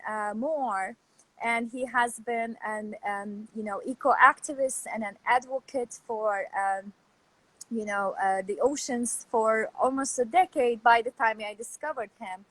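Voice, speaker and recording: High-voiced Turkish-accented woman. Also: a bit bright, rough recording. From Turkey. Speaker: guest, artist and professor. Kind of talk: casual interview talk about own background. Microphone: video call.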